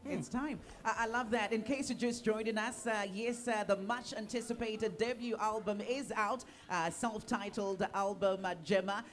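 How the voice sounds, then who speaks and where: A woman's voice: mid-range voice, steady tone, moderate pace, few pauses. A woman hosting a live radio show, reacting with gushing praise and many fillers as she introduces topics. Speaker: radio host. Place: radio studio.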